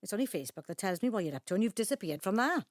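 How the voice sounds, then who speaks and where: An actress playing a middle-aged Welsh daughter in an audio drama, a chiding, anxious peacekeeper with a South Wales accent. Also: female voice, mid-range voice, big pitch swings, rapid-fire, dry recording, clean studio sound, close to an everyday voice. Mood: chiding, playful. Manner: chiding, pleading. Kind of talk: naturalistic audio drama acting.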